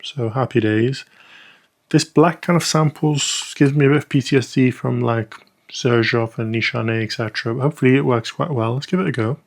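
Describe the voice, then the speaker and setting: A man talks through a set of perfume samples in an easygoing, unscripted way. His voice sits in an ordinary middle pitch. He fills pauses with ums and thinks out loud as he goes. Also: creaky. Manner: chatty, frequent ums, thinking aloud. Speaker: perfume reviewer. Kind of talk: casual first-impressions review.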